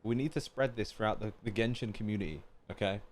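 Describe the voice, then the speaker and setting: A man's voice: lowish voice, quick pace, a little hiss or hum. A man streaming a game with casual, slangy reaction commentary. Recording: game music behind voice. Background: game music.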